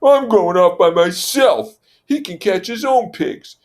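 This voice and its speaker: Man as high-pitched, defiant boy hunter Jack. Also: animated, unhurried. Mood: enraged, tearful. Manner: defiant outbursts. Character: Jack, humiliated, enraged schoolboy hunter. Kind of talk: character voice in novel read-aloud.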